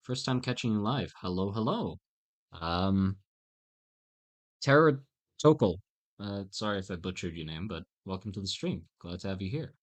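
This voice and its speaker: A man chatting casually on a live stream while he draws, in a halting, self-mocking way. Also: deep voice.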